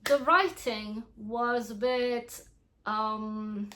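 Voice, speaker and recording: A woman's voice: on the high side, steady tone, drawn-out words, pushed and bright. A young woman with a French accent, a native French speaker, reviewing books in a casual, frank vlog, laughing often and restarting her sentences. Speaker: book reviewer.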